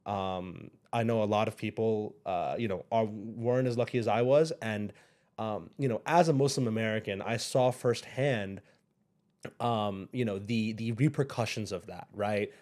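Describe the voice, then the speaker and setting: A young man as a guest on an interview show, speaking thoughtfully, pausing often and restarting his sentences. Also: on the low side, darkish tone. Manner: thoughtful, hesitant, many fillers and restarts. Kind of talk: casual interview.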